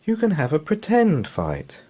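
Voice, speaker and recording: A man voicing an eager, excitable young village boy in a storybook, exclaiming breathlessly. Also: on the high side, measured pace, voice pushed up, full character voice. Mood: earnest.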